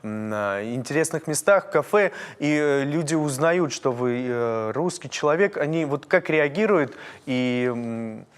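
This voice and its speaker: A man from North Ossetia hosting a TV interview, speaking in a measured, searching way with many fillers. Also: mid-range voice, expressive, smooth, big-room sound. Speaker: TV host. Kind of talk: TV interview and sign-off.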